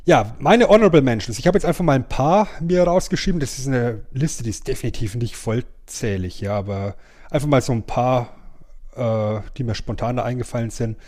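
Male podcast co-host, middle-aged, medium pitch, informal and conversational. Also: brisk, darkish tone. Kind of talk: casual chat, ranking old cartoons.

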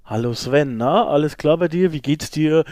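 A man's voice: on the high side, moderate pace, a little mellow, no echo, clean studio sound. A middle-aged man co-hosting a podcast, chatting casually in a joking, playful way. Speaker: podcast co-host. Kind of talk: casual chat.

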